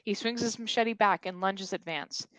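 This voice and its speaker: A woman's voice: medium pitch, normal speed, fairly bright tone, dry recording, poor audio. Woman reading stage directions, dry sound. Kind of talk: scripted narration at a table read.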